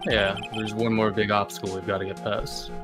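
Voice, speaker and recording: A man's voice: fairly low pitch, flat delivery, fairly quick, lightly acted. A man voicing a blunt, practical male investigator, reading video game dialogue aloud. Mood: businesslike. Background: game music.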